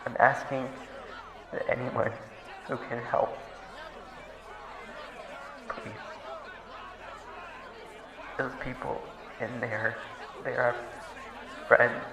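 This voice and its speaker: Shaken, halting speaker in echoing space. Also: male voice, medium pitch, level tone. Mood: pleading, resolute. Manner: halting, stammering, emotional public address. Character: distressed young man rallying a crowd, pleading and earnest. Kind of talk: audio roleplay acting, impassioned speech. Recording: echoey open-air space with crowd.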